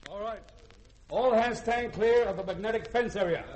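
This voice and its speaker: An actor playing a dutiful spaceship bosun giving crisp military replies in a 1950s radio drama. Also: male voice, high voice, moderate pace, a little mellow, natural voice. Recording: roomy old radio recording.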